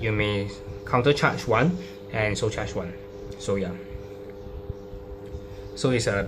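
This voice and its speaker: Man explaining cards over noisy, humming recording. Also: on the low side. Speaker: trading card game player presenting a deck profile. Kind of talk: casual unscripted card-by-card explanation. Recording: clearly noisy home recording with hum.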